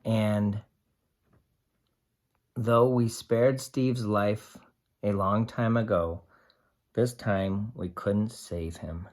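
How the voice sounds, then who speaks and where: A storyteller reading a picture book aloud to young children in a deliberate, expressive way, pausing to explain simply. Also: mid-range voice. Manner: slow, deliberate, explains and asks simple questions.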